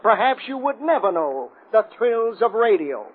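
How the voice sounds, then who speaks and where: High-pitched, pompous rabble-rouser. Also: male voice, sing-song, normal speed. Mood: oratorical. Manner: declamatory, pompous. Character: smug, sneering crooked city official and rabble-rousing orator. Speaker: actor. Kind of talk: 1930s radio drama acting. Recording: tinny, narrow-band old radio recording.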